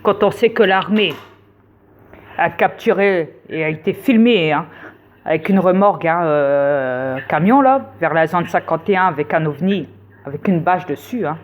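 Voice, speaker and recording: Insistent lecturing woman, low voice. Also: animated, unhurried, narrow, tinny audio. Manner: insistent, lecturing, many fillers. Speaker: conspiracy video host. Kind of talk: casual rambling monologue.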